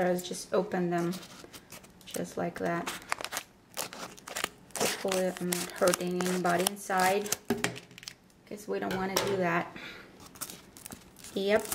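Slow, halting woman with creaky voice. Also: mid-range voice. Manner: halting, pausing between short phrases. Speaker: vlogger presenting her plant unboxing. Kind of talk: casual unboxing commentary. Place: indoors at a table. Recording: background noise with paper crinkling and clicks. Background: crumpling paper packaging.

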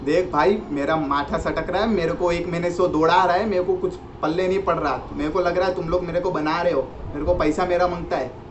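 A man putting on a curt, threatening street tough in a prank phone call, insistent and slangy. His voice is fairly high, in a dull recording with clear noise. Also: fairly even intonation, a little fast, close to an everyday voice. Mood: pushy, irritated. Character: pushy male street tough demanding money. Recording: dull, noisy room recording.

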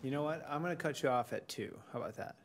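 A man's voice: a little high, quick pace. A man chairing a village board public hearing in a large council chamber, talking casually with some restarts.

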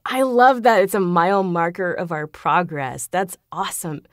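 A woman chatting casually as an interview guest, talkative and quick to laugh. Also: lowish voice, lively intonation, dry recording, studio quality. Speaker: guest, voice actor. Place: closet home studio, dry sound. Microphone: shotgun studio microphone.

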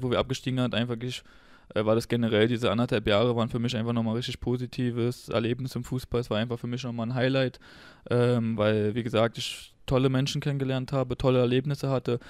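Fast, even-toned man from Berlin. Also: mid-range voice, no echo, studio quality. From Berlin, Germany. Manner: informal, colloquial German. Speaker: podcast guest, former footballer. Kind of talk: casual interview chat.

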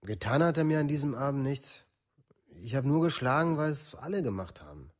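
Casting: young thug under interrogation, blunt, lively intonation, documentary radio drama. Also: male voice, medium pitch, a little fast. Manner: blunt, short answers. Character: young male assailant under questioning, blunt. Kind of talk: documentary radio play testimony.

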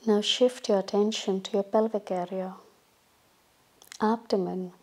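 A woman yoga instructor leading a guided relaxation meditation in a calm, soothing, instructional manner, leaving long pauses between phrases. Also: medium pitch, slightly rough.

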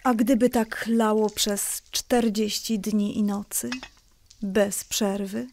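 A woman playing a gentle mother who patiently explains a Bible story in a children's audio drama. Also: mid-range voice, little pitch movement, slow, creaky, slight background noise. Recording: faint music behind the voice.

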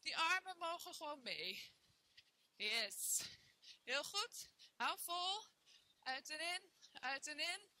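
A woman's voice: sits high, animated, slow, pauses often, bright, forceful tone, dry recording. A young woman leading a workout class, counting reps and cheering on her students while she exercises along in a bare indoor room. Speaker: fitness trainer. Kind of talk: guided workout instruction.

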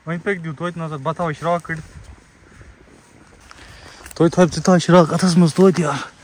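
A man's voice: a little high, steady tone, moderate pace, a little mellow. Young man vlogging, noisy recording. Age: young adult. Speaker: camping vlogger. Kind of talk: casual outdoor vlog, talking to camera and companions. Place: outdoor campsite and inside a tent. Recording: noisy outdoor phone recording. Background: clear ambient outdoor noise.

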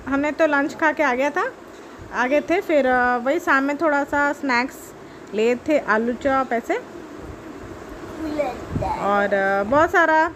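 A woman in her thirties narrating her train trip for her vlog viewers in a chatty, excited way, talking straight to them. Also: high-pitched, noisy background. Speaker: travel vlogger. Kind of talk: casual vlog voice-over.

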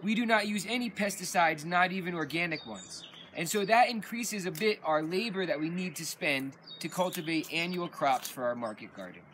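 A man's voice: high voice, animated, moderate pace, few pauses, background noise. A young man outdoors on a farm, steadily and methodically explaining how it works, recorded by a camera a few meters away. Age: young adult. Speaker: farmer giving a tour. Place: outdoors on a farm among gardens and animal pens.

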